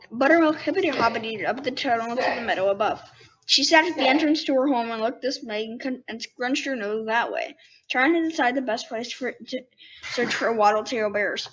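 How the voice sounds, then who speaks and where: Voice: medium pitch, pushed and bright, lo-fi sound, slight background noise. A ten-year-old child host reading a storybook aloud, with casual asides to the listeners. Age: 10 years old.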